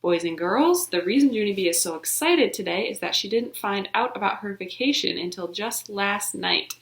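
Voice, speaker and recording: A woman's voice: mid-range voice, moderate pace. Woman doing a patient, wry teacher man. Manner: calm, gently wry. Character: patient, dry-humored male schoolteacher. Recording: roomy echo.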